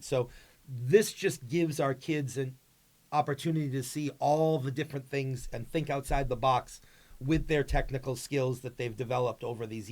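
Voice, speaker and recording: A man's voice: medium pitch, a little mellow. A man speaking as a drama teacher in a casual interview, explaining things in an earnest, conversational way. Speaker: high school drama advisor, guest.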